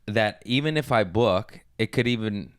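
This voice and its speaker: A young man with a low, smooth voice hosts a casual podcast chat. He rambles through profane stories and laughs at his own mishaps. The sound is dry and close. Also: normal speed, no echo. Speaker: podcast host and stand-up comic.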